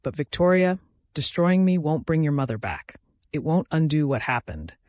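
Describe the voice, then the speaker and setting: A man's voice: a little high, sing-song, normal speed, darkish tone, no echo. A man voicing an earnest, defiant woman lawyer. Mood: remorseful pleading. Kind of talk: dramatic audiobook character reading.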